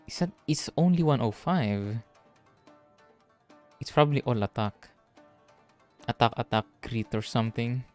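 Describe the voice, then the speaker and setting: A man's voice: medium pitch, animated, unhurried, long pauses, darkish tone, faint music behind. A man doing casual live game commentary, musing aloud as he plays. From Philippines. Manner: muses aloud, mildly swearing. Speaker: VTuber game streamer.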